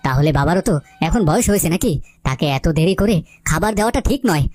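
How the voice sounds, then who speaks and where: A woman's voice: lowish voice, expressive, fast talker. A woman voicing an old man's grown sons in a cartoon folk tale, dramatic and emphatic. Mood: caring. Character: adult sons of an old man, quarrelsome brothers. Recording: loud music behind the voice.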